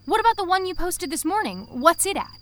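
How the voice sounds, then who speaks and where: A woman's voice: extremely high pitch, sing-song, fast, a bit bright, a little hiss or hum, heavily acted. An actress in an audio fiction comedy playing an upbeat, scatterbrained, placating woman who chirps along agreeably. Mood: curious, playful. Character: upbeat, placating flat-earther woman, scatterbrained animal lover.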